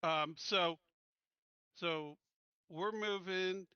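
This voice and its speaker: A man playing a tabletop roleplaying game, talking casually and haltingly as he plans, on a slightly dull, dry online voice chat. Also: high voice, bright, forceful tone, clean tone, no room sound.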